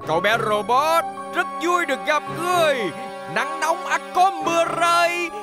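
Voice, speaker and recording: Give the gloating umbrella villain of a children's cartoon. He is theatrical and boastful, rolling out chanted rhymes over loud music. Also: very high voice, normal speed. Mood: gloating menace. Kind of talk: animated cartoon dub acting.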